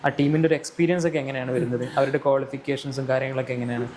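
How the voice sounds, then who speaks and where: A man's voice: mid-range voice, steady tone, rapid-fire, darkish tone, slight background noise. A man hosting a podcast, casually asking questions. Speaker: podcast host. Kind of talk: casual interviewing with questions and short echoes.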